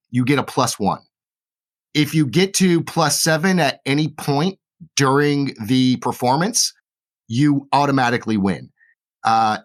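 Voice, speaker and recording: A man acting as game master, explaining game rules quickly and plainly. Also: mid-range voice.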